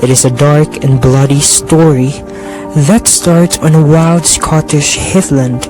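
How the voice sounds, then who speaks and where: Young man, slightly high voice, unhurried scripted narration, music behind. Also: fairly even intonation, low-quality recording. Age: young adult. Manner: slow, deliberate storytelling. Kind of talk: scripted narration for a student radio drama.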